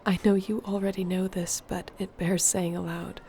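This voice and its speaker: Woman as determined fantasy sorceress, mellow and low, measured formal phrasing, earnest, intimate audio drama, faint background noise. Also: level tone, lightly acted. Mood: tender, grave. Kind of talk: intimate audio roleplay acting, speaking to one listener.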